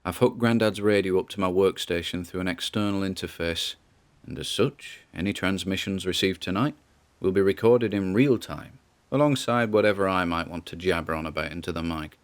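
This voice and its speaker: Actor playing nervous grandson, male, fairly deep voice, wry conversational musing, audio drama log. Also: moderate pace, clear, resonant tone, lightly acted. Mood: uneasy, musing.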